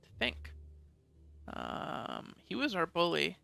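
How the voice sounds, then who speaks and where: A man's voice: fairly high pitch, big pitch swings, takes it slowly, vocal fry, bright, forceful tone, dry recording. A man giving casual let's play commentary, thinking aloud and reading bits of game text, with some mild swearing.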